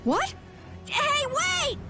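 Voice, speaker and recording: A woman voicing an excitable, timid young boy sidekick in an anime video game. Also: very high voice, very slow, over music, heavily acted. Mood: panicked.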